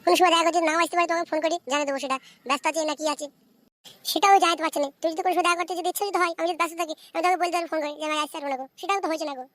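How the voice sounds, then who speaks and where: A woman acting as a coy, defiant young Bengali village wife from a comic cartoon. Her voice sits extremely high and races along, wheedling one moment and bickering the next. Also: steady tone, very fast, fairly bright tone, no room sound. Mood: complaining, wheedling.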